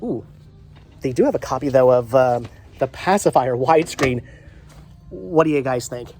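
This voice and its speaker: A man's voice: medium pitch, expressive, normal speed, a little mellow, faint music behind. A middle-aged man with a congested voice chats casually to viewers as a vlog host, rambling and repeating his phrases. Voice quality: congested with a head cold.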